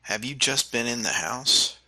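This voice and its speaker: A man with a husky voice playing a tabletop game, role-playing in a casual, hesitant way. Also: medium pitch, expressive, unhurried, sharp tone, low-quality recording. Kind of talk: casual improvised role-play.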